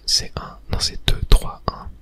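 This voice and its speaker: Someone softly whispering calm, soothing ASMR commentary while playing a video game, describing each step as it goes. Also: a little slow. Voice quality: soft unvoiced whisper. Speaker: video game streamer, ASMR channel host.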